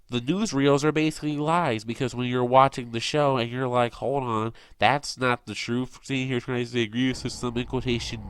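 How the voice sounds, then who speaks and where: A man's voice: mid-range voice, fairly bright tone, no echo. A man delivering an opinionated fan rant as an online commentator, insistent, repetitive and exasperated in manner.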